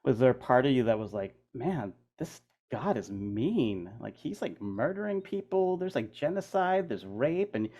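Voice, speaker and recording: A man's voice: medium pitch, sing-song, normal speed, hardly any pauses, warm tone, lo-fi sound. A middle-aged man hosting a casual interview, talking informally and banteringly, with plenty of "like" and "man". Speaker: interview host.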